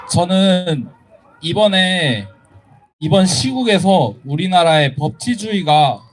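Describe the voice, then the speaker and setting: Slow, high-voiced young man at a rally. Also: a little mellow, low-quality recording. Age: 20s. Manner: deliberate, solemn, in short separated phrases. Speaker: protester giving a speech. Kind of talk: political rally speech. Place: outdoors at a street protest.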